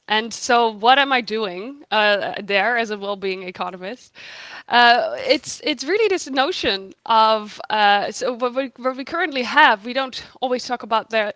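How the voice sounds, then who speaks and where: A woman's voice: mid-range voice, fairly bright tone, no echo. A woman from the Netherlands explaining her work as an economist in a conversational interview, thoughtful and emphatic. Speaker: guest, economist and researcher.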